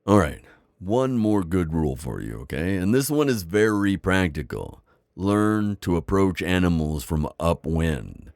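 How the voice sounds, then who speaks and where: A man playing a kindly, wise vampire innkeeper in an intimate ASMR roleplay, deep-voiced, with a folksy, chatty and slightly hesitant manner. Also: very animated, a little slow, darkish tone, no echo, clean studio sound, heavily acted. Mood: encouraging. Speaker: audio roleplay performer.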